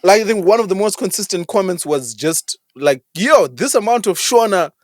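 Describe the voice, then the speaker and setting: A man's voice: sits high, animated, normal speed, dry recording, studio quality. A Zimbabwean man co-hosting a podcast, chatting casually in a mix of Shona and English and repeating phrases for emphasis. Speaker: podcast co-host.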